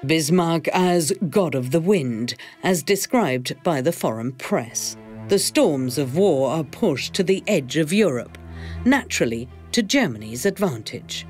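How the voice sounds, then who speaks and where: A woman narrating an educational film from a script in a measured, formal, explanatory manner. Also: sits low, animated, darkish tone. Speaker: documentary narrator. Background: faint music.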